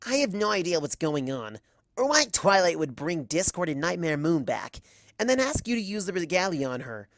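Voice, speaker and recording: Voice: mid-range voice, sing-song, normal speed, no echo, clean studio sound, pitched above the performer's own voice. A performer voicing a loyal, defiant young female pegasus pony with a blunt, tough, casual manner in a story reading.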